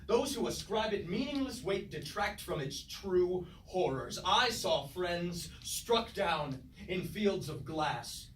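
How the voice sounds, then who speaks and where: A man's voice: sits high, sing-song, slow, hardly any pauses, exaggerated voice. A man playing a loyal, embittered robot soldier in a staged tragedy, declaiming in formal, archaic rhetoric. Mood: bitter grief. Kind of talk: staged reading of mock Greek tragedy. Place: indoor performance space, recorded from afar. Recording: echoey stage room, distant mic, faint noise.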